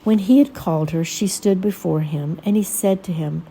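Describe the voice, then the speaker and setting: A woman teaching a Bible lesson in a reflective, measured way, pausing between short phrases. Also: on the low side, creaky, warm tone, slightly rough, rough recording. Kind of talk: Bible lesson with scripture reading.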